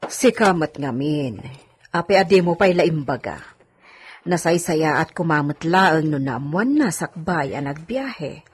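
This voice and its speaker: Woman acting a slightly husky, outspoken housemaid. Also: sits low, lively intonation, measured pace, exaggerated voice. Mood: reassuring. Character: concerned, outspoken female housemaid, confidante to her mistress. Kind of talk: Ilocano radio drama acting.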